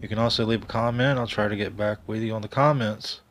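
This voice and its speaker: A man's voice: on the low side, fairly even intonation, fast talker. A middle-aged man casually introducing and signing off a story show as its host. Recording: music playing behind the voice. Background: background music.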